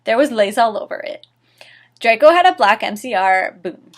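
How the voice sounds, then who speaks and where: A woman's voice: on the high side, animated, moderate pace. A woman reading fan fiction dramatically as host, with a sarcastic, theatrical manner and mocking asides. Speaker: host and reader of a series.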